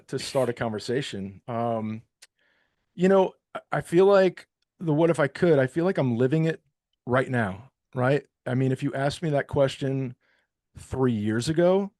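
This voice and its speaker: A man's voice: mid-range voice, a little mellow, dry recording, clean studio sound. Chatty middle-aged man, reflective guest. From New York. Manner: chatty and reflective, many fillers like you know and um. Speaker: podcast guest, recovery peer advocate. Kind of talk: casual interview conversation.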